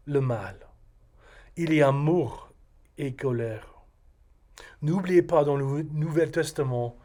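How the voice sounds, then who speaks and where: A middle-aged male pastor with a Texan accent, a native English speaker, reading a sermon in halting French for a video. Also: a little high, darkish tone. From Texas. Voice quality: halting non-native French with frequent hesitations. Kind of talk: recorded video sermon read from a script.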